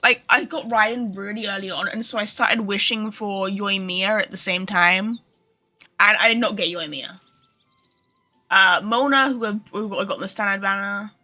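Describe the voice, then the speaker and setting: A woman's voice: mid-range voice, normal speed, sharp tone, faint music behind. A woman livestreaming a video game, chatting casually to viewers in a rambling, informal, sweary way.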